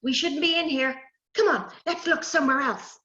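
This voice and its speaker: A woman's voice: sits high, normal speed, bright, forceful tone, voice pushed up. A woman voicing a sensible, anxious little girl who pleads with and scolds her brother. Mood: worried. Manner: pleading, scolding older-sister tone. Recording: roomy, slightly dull recording.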